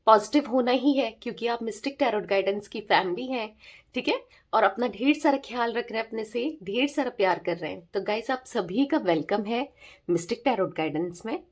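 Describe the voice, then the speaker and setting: A woman with a sore, hoarse throat giving a casual tarot reading, chatting with listeners and often checking in with them. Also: on the high side, expressive, a little fast. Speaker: tarot reader.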